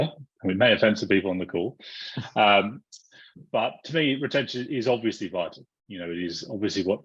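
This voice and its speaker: Husky deep-voiced man on a call. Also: no echo. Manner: wry, thinking aloud with many restarts. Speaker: panelist. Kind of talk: online panel discussion. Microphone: video call. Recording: muffled dry video call audio.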